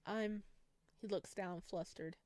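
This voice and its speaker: Woman, gaming video host, mid-pitched, reading story text aloud, giggly gushing reactions, very dry recording. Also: lively intonation, unhurried, dry recording. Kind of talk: visual novel let's play, reading text aloud with excited reactions.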